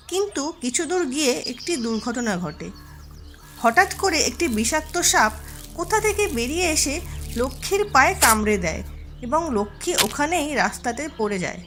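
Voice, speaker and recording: A woman's voice: sits high, fairly bright tone. A woman narrator reading a scripted storybook tale for a cartoon.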